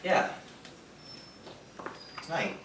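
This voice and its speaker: An actor playing an awkward young newcomer, halting and apologetic, in a stage play. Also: male voice. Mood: eager. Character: awkward, starstruck young male show newcomer. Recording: distant, echoey stage recording, faint noise.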